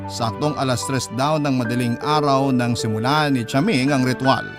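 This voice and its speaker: A man's voice: medium pitch, steady tone, moderate pace. A man with a Filipino accent narrating a story dramatically in the first person, as a radio storyteller. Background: music bed.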